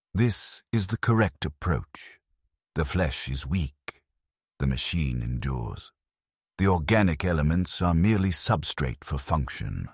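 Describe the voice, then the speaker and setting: A pitiless cyborg priest of machines, as a male narrator puts him on, speaks in a very deep, husky voice. He is clipped, clinical and commanding, a cold instructor from an audio sci-fi story. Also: dry recording, studio quality, exaggerated voice.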